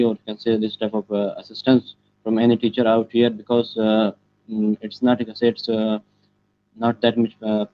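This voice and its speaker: A teenage boy from Pakistan whose first language is Pashto answers interview questions over a call. His voice sits low and flat, and he stops often for fillers and restarts. The call audio is muffled and lo-fi. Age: teens. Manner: halting, many fillers and restarts. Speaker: guest, student web developer.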